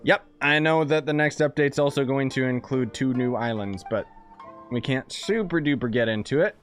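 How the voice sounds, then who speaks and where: A man's voice: medium pitch, normal speed. Young man streaming a game, casual and slangy. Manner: slangy, joking, sarcastic. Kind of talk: casual live gameplay commentary. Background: faint game music.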